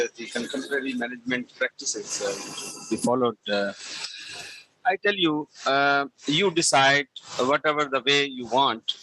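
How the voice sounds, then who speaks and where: A man responding on a panel, hesitant and halting, full of "uh" fillers. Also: mid-range voice, pushed and bright, a little hiss or hum, low-quality recording.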